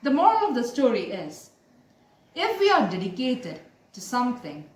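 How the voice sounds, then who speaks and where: A woman narrating a moral story as an amateur storyteller, in an expressive, deliberate way. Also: on the high side. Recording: roomy, distant mic, faint noise.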